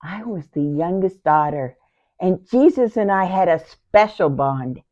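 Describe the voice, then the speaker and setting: A woman playing a devoted, grieving youngest sister in a theatrical, deliberate Bible monologue that moves between hushed tones and outcries. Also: lowish voice, animated. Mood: tender, nostalgic. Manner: theatrical, deliberate, swinging from hushed near-whispers to loud outcries. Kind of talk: dramatic first-person Bible monologue. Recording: dull, lo-fi sound.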